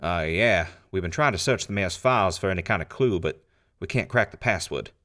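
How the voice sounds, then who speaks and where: Man playing a deep-voiced, wary folksy lawman. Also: expressive, fairly quick, a bit bright. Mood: plain, informative. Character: wary, folksy small-town lawman, easily cowed. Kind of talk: post-apocalyptic audio drama acting.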